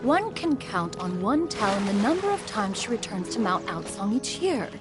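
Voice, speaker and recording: A woman's voice: mid-range voice, big pitch swings, normal speed, natural voice. A woman voicing a proud, dignified immortal bird in human form, speaking formal, archaic lines in a video game. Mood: measured, reflective. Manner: haughty, formal, archaic diction, calls herself "one". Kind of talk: video game character dialogue. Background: music.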